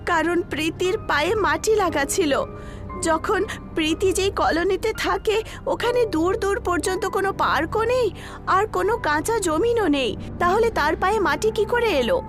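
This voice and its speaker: Woman acting an anxious, very high-voiced college girl. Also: normal speed, over music. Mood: troubled. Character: anxious frightened young college women. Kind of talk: horror cartoon dialogue.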